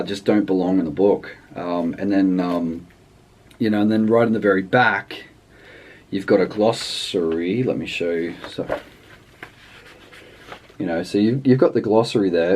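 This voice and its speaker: Male reviewer, lower register, off-the-cuff and rambling, thinks aloud with hesitations. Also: a little mellow, a little hiss or hum. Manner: hesitant, rambling delivery with trailing thoughts. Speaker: language book reviewer. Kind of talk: casual unscripted review chat.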